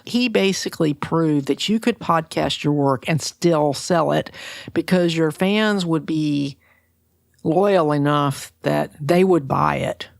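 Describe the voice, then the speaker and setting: A woman's voice: sits low, takes it slowly, gravelly, dry recording, studio quality. A woman talking conversationally as a podcast interviewer.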